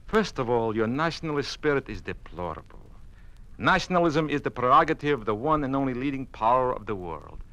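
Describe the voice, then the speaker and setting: An actor playing a smoothly menacing Soviet party envoy in an old radio drama, formal, condescending and commanding. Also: male voice, mid-range voice, fairly bright tone, low-quality recording. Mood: coldly reprimanding.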